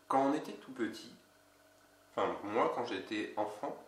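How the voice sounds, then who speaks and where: A man with a cold, an energy healer giving a casual instructional video in a slow, halting way. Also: medium pitch, animated, far from the mic. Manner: slow, halting, with many pauses. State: has a cold. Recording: roomy echo, away from mic.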